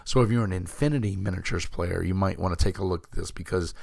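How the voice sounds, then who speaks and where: Hoarse, gravelly-voiced man in his fifties. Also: sits low, fast, a little mellow, no room sound, clean studio sound. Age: 50s. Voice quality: rough, gravelly voice. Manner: rambling, many fillers and throat clearing. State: hoarse, recovering from illness. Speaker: tabletop game channel host. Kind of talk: casual chat to camera.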